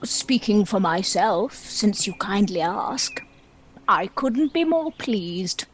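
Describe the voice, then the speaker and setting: A woman's voice: medium pitch, sing-song, takes it slowly, a little hiss or hum. A woman playing a proud, lonely, world-weary dragon in a comic play, with a theatrical, wry and indignant manner. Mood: haughty, irritated. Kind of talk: dramatic monologue in a comic play.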